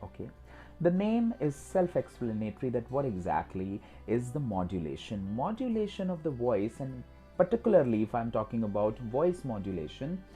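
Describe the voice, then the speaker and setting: A man's voice: medium pitch, very animated, normal speed, warm tone, faint music behind. A man with an Indian accent, a native Hindi speaker, giving an instructional talk as host, repetitive and emphatic with frequent filler phrases. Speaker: host of a skills channel.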